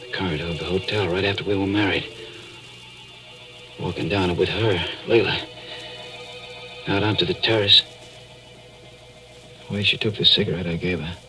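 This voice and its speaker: An actor playing a haunted, nervous deep-sea diver who narrates his ordeal haltingly in 1940s radio drama style. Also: fairly even intonation, poor audio. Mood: brooding, dreamy. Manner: halting, hesitant, brooding. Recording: old 1940s radio recording with music.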